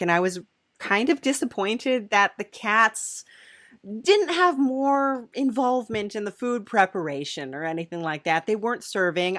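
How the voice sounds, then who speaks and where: A woman hosting a playful, improvised comedy interview in a halting, giggly, chatty way. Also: mid-range voice, fairly bright tone, no echo, studio quality. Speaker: podcast host.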